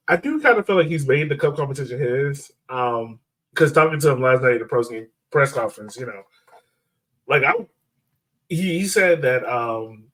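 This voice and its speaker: A man's voice: medium pitch, fairly bright tone, low-quality recording. Casual man hosting a sports podcast. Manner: informal, conversational, thinks aloud.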